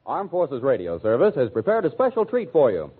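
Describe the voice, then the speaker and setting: A man's voice: mid-range voice, huge pitch swings, brisk, breathy edge. A man announcing formally from a script in the style of 1940s radio, presenting a program. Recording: thin, phone-like old radio broadcast.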